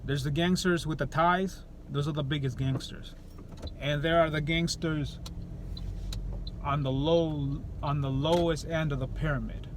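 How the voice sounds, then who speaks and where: A man's voice: on the high side, fairly even intonation, a little slow, a little hiss or hum. A man from El Salvador talking straight to camera in an opinionated vlog, blunt and profane, often restarting his sentences. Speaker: YouTuber.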